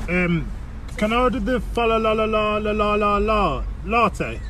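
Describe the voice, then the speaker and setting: A man's voice: sits high, expressive, measured pace, pushed and bright, distant mic, slight background noise. A man from the United Kingdom, hesitant and embarrassed, in an amateur recording.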